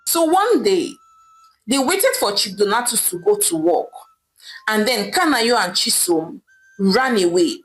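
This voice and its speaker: A woman's voice: medium pitch, low-quality recording. A woman with a Nigerian accent narrating a scripted story as a storyteller.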